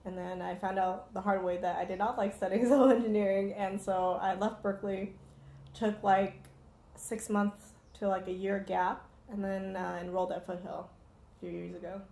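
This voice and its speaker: A young woman in her twenties, a student, describing her path through college in a measured, thoughtful way. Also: medium pitch, level tone, creaky, warm tone, echoey room, slight background noise. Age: 20s. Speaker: student interviewee. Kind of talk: casual reflective talk.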